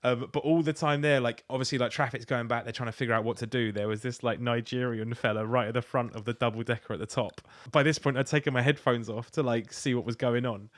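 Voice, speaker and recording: A man's voice: medium pitch, steady tone, fast, hardly any pauses. Southern English man, sweary podcast banter. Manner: sweary anecdote telling. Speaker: podcast co-host. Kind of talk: casual comic chat.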